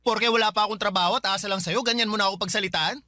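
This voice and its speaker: An actor playing a defiant, resentful younger brother, argumentative and whiny, in a Filipino radio drama. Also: male voice, high voice, steady tone, very fast, pushed and bright, no echo.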